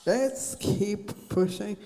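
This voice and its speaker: A man giving an award acceptance speech, saying each word slowly, one at a time. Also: high-pitched. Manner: very slow, word by word delivery. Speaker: award winner. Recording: reverberant hall.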